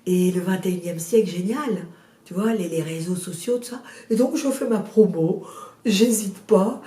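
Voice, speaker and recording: A woman in her sixties with a cold, chatting in an interview about her first novel, hesitant with many fillers and restarts but lively in bursts. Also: mid-range voice, normal speed, roomy sound, recorded from a distance. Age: 60s. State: has a cold. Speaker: guest, novelist. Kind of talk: casual interview chat.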